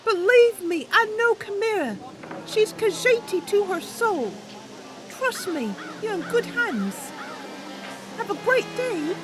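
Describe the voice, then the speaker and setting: Woman voicing a very high, perky little elf knight. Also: big pitch swings, takes it slowly, heavily acted, rough recording. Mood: friendly, bright. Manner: chirpy, encouraging, teasing. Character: perky little female wood elf knight, kind and playful. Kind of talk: improvised in-character fantasy game roleplay.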